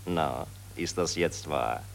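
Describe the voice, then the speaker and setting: An actor playing a pompous, bullying newspaper editor with a Viennese accent in a satirical radio play. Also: male voice, a bass voice, gravelly, a little hiss or hum, heavily acted. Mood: pompous dictation. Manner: pompous, dictating slowly, then pressing.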